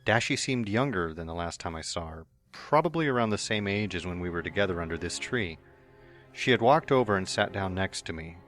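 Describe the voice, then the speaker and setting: Male narrator, deep voice, earnest first-person reading over faint music. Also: animated, fairly quick, a bit bright. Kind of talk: fan audiobook reading. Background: faint background music.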